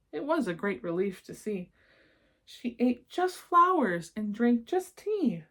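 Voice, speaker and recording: Female children's read-aloud presenter, slightly husky, gentle and encouraging, talks straight to the young listener. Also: medium pitch. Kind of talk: expressive storybook reading with asides to young listeners.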